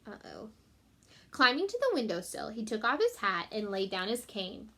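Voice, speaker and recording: A woman's voice: medium pitch, very animated, normal speed, bright, forceful tone. A woman teacher reading a children's storybook aloud, expressively, with chatty asides and simple explanations for her pupils.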